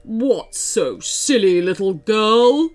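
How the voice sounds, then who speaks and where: A big, lonely storybook dragon, acted out by a woman, menacing yet persuadable. He talks slowly and with drawn-out weight, over faint music. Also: mid-range voice, expressive, a little mellow. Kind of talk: children's storybook character voice.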